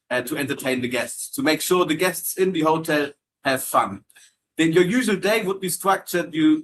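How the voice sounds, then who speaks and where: A man's voice: on the high side, fairly bright tone, slight background noise, poor audio. A man from Germany hosting a casual live Q&A about hotel entertainment jobs, friendly and full of fillers and restarts. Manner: friendly, filler-heavy, repeats words while reading comments. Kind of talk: casual live-stream chat.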